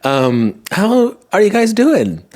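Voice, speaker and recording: A man hosting a podcast in casual solo chat, rambling and wry, his voice tired and slightly hoarse. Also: high voice, huge pitch swings, takes it slowly, a little mellow. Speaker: podcast host. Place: at home, indoors.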